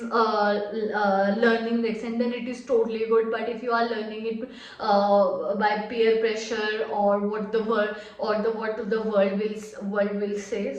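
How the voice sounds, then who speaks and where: A woman's voice: fairly high pitch, dull audio. A young Indian woman with an Indian accent giving hesitant, casual reaction commentary full of fillers. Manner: hesitant, many fillers, laughs softly. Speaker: reaction video co-host. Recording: echoey room, distant mic.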